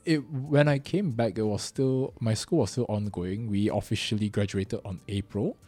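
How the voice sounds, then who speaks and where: A young man with a Singaporean accent chats on his podcast in a low, mellow voice. He talks quickly and loosely, with lots of fillers. The sound is dry and close. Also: dry recording, soft background music. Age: 20s. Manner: chatty, quick, with frequent fillers and laughs. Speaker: podcast host. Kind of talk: casual chat.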